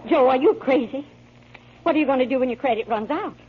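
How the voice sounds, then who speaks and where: A woman's voice: sits high, fast, breathy edge. A woman playing an anxious, plain-spoken, long-suffering older mother in a 1940s American radio drama. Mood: exasperated scolding. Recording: dull old radio broadcast.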